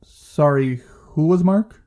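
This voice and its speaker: A woman playing a calm, tactful therapist who gently asks questions in an audio drama. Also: deep voice, slow. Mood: gentle, careful.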